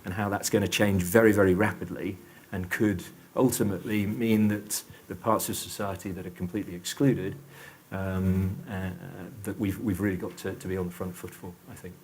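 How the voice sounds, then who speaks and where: A man with a fairly low voice gives his view on a discussion panel. He pauses often, restarts his sentences and fills the gaps with small sounds. A faint hiss runs under the recording. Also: fairly even intonation. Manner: hesitant, many fillers and restarts.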